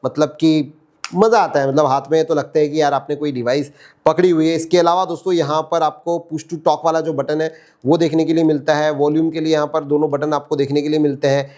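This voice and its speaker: A man's voice: fairly high pitch, little pitch movement, brisk, a little mellow. A man with an Indian accent giving a casual, explanatory product demo as a reviewer, mixing in English terms. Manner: conversational, explanatory, Hindi mixed with English terms.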